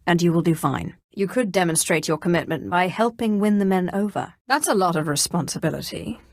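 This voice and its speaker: An actress playing a cold, commanding Sith Inquisitor in a video game, with an imperious, clipped and dryly sardonic delivery. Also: female voice, on the low side, lively intonation, fairly quick, no room sound, clean studio sound. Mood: menacing, imperious. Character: female Sith Inquisitor, cold, commanding, menacing young sorceress. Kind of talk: scripted video game dialogue.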